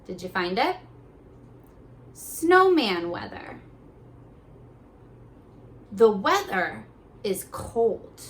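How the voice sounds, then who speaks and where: A young woman teacher at home on her kitchen floor, reading aloud slowly and sounding out words for young children. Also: medium pitch, sing-song, fairly bright tone. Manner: slow, encouraging, sounding out words.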